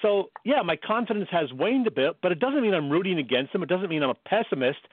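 A man's voice: high voice, lively intonation, quick pace, no room sound. A man co-hosting a sports radio show, giving his opinions in a casual, matter-of-fact way. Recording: dry, thin phone-like sound.

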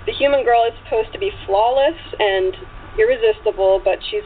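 A woman's voice: fairly high pitch, fairly quick. A woman speaking as an author guest in a casual interview, with an enthusiastic manner. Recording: tinny phone line with background noise.

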